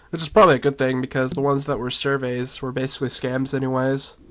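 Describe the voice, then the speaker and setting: A man with a hoarse, raspy voice chatting casually as he explores a virtual world. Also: mid-range voice, little pitch movement, normal speed. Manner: rambling, chatty, with coughs and laughs. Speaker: video game vlogger. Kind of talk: casual commentary while exploring a game.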